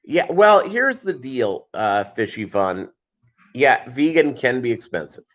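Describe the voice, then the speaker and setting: A man hosting a casual livestream, chatting with viewers in a halting way and wandering between asides. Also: mid-range voice, big pitch swings, fairly bright tone. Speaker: livestream host. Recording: dull lo-fi livestream audio.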